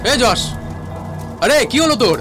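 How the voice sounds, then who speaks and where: A man's voice: very high voice, very animated, normal speed. A man voicing a brash, irritable young friend in a horror cartoon, slangy and agitated. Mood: panicked. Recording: loud background music.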